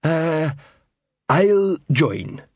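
A man voicing a hulking, suspicious troll in an audiobook, sullen and slow-witted, with an ungrammatical growl. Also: a little high, sing-song, no room sound, voice pushed up, heavily acted. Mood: reluctant. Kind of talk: audiobook character voice.

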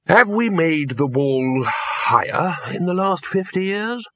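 A man plays a no-nonsense wizard lecturer, pitched a touch higher than the reader's own voice and quick to take charge. He sounds dry and slightly muffled. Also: sing-song, bright, forceful tone, no room sound, exaggerated voice. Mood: wistful. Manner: brisk, exasperated. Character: brisk, practical middle-aged male wizard lecturer. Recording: dull lo-fi, dry.

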